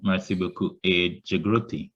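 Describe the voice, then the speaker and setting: A man with a very low voice chairs an online meeting and passes the turn from speaker to speaker. His audio is dull and lo-fi, as it often is on a video call. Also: fairly even intonation, normal speed, very noisy.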